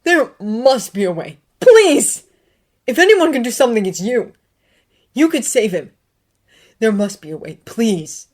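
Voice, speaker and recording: A woman's voice: fairly low pitch, animated, slow pace, pauses often. A woman voicing an anguished, bitter grown man, a brooding teacher, with intense pleading outbursts. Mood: desperate.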